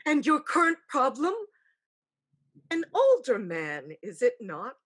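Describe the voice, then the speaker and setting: A woman playing an elder ancestral spirit, a wise, pragmatic aunt, in a deliberate, knowing staged reading. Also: high voice, sing-song, slightly rough, heavily acted. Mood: firm counsel. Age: older. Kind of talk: staged play reading, dramatic and measured.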